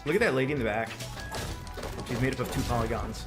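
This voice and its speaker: A man's voice: mid-range voice, fast talker. Laid-back man chatting over game music. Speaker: gaming commentator, co-op player. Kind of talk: casual game commentary chat.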